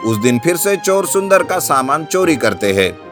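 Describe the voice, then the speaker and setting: A man narrating an animated children's story from a script. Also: fairly high pitch, normal speed. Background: background music.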